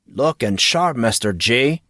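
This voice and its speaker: A man's voice: mid-range voice, lively intonation, takes it slowly, sharp tone, dry recording, heavily acted. Man plays irreverent teen joker in an audiobook, slangy and cheeky, dry close recording. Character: wisecracking, irreverent teenage boy.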